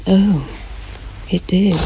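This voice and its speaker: An actress playing a teasing, flirtatious wife and reporter in a naturalistic radio drama, with a wry, intimate manner. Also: female voice, fairly low pitch, very slow, mellow, slight background noise, rough recording.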